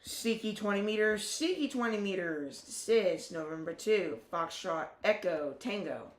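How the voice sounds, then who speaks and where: A ham radio operator hosting a live stream, chatting casually in halting, self-correcting sentences and calling out a call sign in phonetic letters. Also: medium pitch, animated, slight background noise.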